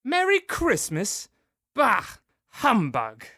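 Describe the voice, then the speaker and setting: An actor playing a bitter, miserly old moneylender in a student radio drama, snarling and barking scornful orders. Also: male voice, extremely high pitch, wildly swooping pitch, slow, creaky, sharp tone, slight background noise, exaggerated voice. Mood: contemptuous.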